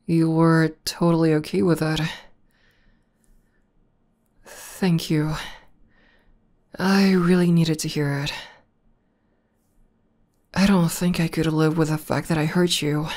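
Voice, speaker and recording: Slow, low, bashful demon girlfriend, acted by a woman. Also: little pitch movement. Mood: tender, vulnerable. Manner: soft, hesitant, bashful, breathy dips into whisper. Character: tough tomboy demon girlfriend, shy, tender and devoted. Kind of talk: intimate ASMR girlfriend roleplay.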